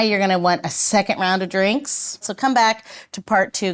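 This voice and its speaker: A woman hosting a podcast in a conversational style, with a playful, joking way of talking. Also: on the low side. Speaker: podcast host.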